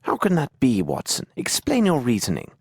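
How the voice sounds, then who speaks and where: An actor playing a brisk, analytical English gentleman detective in a video game, speaking formally and precisely. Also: mid-range voice, wildly swooping pitch, vocal fry, slightly rough, dry recording, studio quality, full character voice. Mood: intent. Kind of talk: video game cutscene acting.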